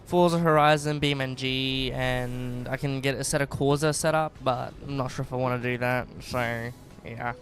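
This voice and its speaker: A man's voice: medium pitch, steady tone, normal speed, hardly any pauses, faint music behind. A man with an Australian accent chatting casually and rambling on a live game stream, often trailing off and restarting. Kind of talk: casual live-stream chat while driving.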